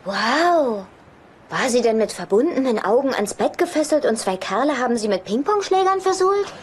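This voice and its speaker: High-voiced, breezy quirky woman. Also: big pitch swings, normal speed, background noise. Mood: breezy, unshockable. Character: quirky, cheerfully unshockable woman psychologist friend. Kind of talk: German sitcom dubbing.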